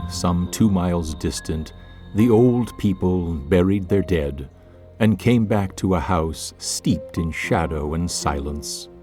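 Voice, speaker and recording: A man reading scripted literary narration for an audio drama in a measured way. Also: sits low.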